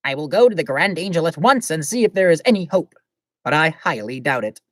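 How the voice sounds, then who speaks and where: A woman plays a grave guardian angel who speaks with slow, measured solemnity and an air of superiority. Her voice is low-set for a woman, with lively pitch, recorded dry and close. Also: dry recording.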